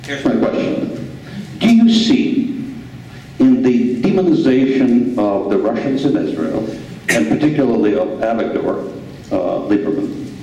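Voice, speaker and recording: A man from Ukraine speaks up from the audience, halting as he searches for words. His tone is dark and mellow. He is far from the microphone in a very echoey hall. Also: mid-range voice, sing-song, muffled recording. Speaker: audience member asking a question. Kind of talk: question from the floor.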